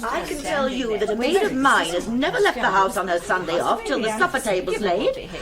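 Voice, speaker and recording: Casting: strict, boastful matron, radio drama, swooping high delivery amid a chattering gathering. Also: female voice, sing-song, fairly quick, a crowd in the background. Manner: self-satisfied, gossipy. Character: boastful, strict, disapproving small-town housewife.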